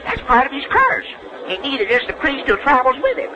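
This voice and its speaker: Through a muffled old radio recording with commotion behind it comes a superstitious rustic uncle. He is folksy and alarmed, his very high voice swooping wildly as he blusters. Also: huge pitch swings, a little fast, crowd noise, heavily acted. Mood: nervous warning. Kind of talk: old-time radio acting.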